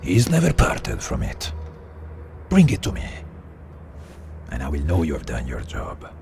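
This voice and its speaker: An actor playing a smooth, menacing Italian mafia kingpin in a war game, gravelly and theatrically insinuating, with an Italian accent. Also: male voice, a bass voice, huge pitch swings, measured pace, a little mellow, soft background music, exaggerated voice. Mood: veiled menace.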